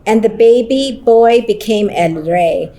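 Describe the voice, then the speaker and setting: Woman reading her own story slowly. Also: a little high, mellow. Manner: slow, deliberate reading with Spanish phrases woven in. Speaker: panelist. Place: conference roundtable session.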